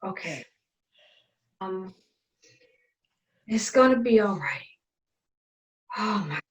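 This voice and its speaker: Actress as sassy, animated sister, roomy distant sound. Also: female voice, mid-range voice, slow. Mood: exasperated. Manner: sassy, emphatic, colloquial. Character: exasperated, practical adult sister, bossy but placating. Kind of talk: stage drama dialogue.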